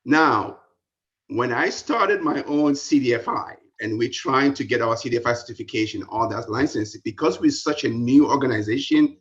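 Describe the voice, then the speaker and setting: A man's voice: mid-range voice, moderate pace. A man from Ghana with a Ghanaian accent, asking a question politely. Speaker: audience member asking a question. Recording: dull lo-fi call audio.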